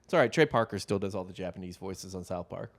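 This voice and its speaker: A low-voiced man co-hosting a relaxed history podcast, chatting loosely and swearing freely. He laughs easily and talks the way friends banter, with an easygoing, unscripted flow. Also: fast. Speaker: podcast co-host telling the story. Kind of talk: casual comedic history podcast chat.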